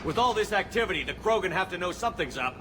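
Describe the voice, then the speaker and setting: An actor playing a resolute, cool-headed military commander in a video game, speaking in terse, firm phrases. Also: male voice, sits high, expressive, quick pace, noisy background. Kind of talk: video game cutscene acting.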